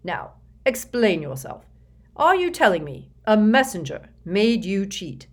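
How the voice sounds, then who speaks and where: A woman reading the part of a cold, quick-tempered male headmaster in an audiobook, with cool, clipped questions. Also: mid-range voice, sing-song, pitched above the performer's own voice. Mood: stern.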